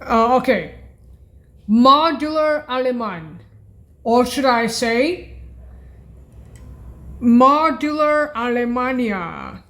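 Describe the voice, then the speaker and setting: Woman, fairly high voice, very slow pace, halting, repetitive, self-questioning, rambling demo commentary. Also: animated, fairly bright tone. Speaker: presenter of a keyboard sound demo. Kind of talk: rambling demonstration commentary, thinking aloud.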